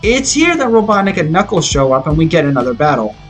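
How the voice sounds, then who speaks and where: A man's voice: on the high side, very animated, fast talker. A man reading a scripted film review in an opinionated, explanatory way. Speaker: video reviewer. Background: background music.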